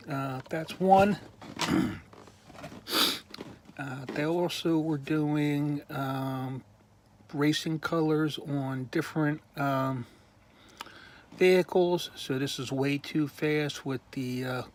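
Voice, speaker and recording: A man slowly showing off his toy collection in a casual video, pausing often with ums and clearing his throat while losing his voice. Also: medium pitch, a little hiss or hum. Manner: slow, halting, many ums and uhs, frequent throat clearing. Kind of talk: casual show-and-tell.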